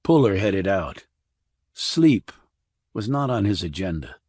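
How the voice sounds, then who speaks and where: A man reading a scripted crime thriller as an audiobook narrator, measured and restrained. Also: fairly low pitch, very animated, darkish tone, slightly rough, no room sound, clean studio sound.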